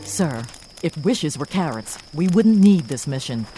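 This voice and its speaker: A woman's voice: deep voice, very animated, unhurried, mellow, soft background music, poor audio. A woman playing a dutiful rabbit starship lieutenant in a science-fiction audio drama, insistent and militarily formal.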